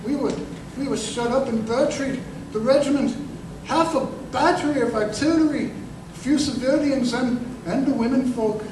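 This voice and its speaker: A man playing an embittered working-class ex-corporal in an old-time radio drama, speaking haltingly and emphatically. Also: very high voice. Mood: wistful, bitter recollection. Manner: halting, repeats words, emphatic. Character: embittered crippled ex-corporal recalling his betrayal, earnest and working-class. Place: live stage performance in a museum. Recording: echoey live performance, distant mic, noisy room.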